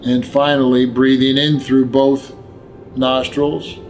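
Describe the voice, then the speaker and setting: Male meditation guide, medium pitch, gentle and very slow scripted delivery with pauses, over background music. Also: little pitch movement, a little hiss or hum. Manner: gentle, unhurried instruction with long pauses.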